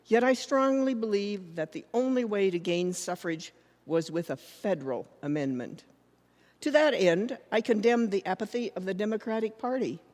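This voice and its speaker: A woman playing a proud, defiant American suffragist, giving an emphatic, deliberate speech in a readers theater. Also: mid-range voice, darkish tone, close to an everyday voice. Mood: firm, proud. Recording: reverberant hall, long echo.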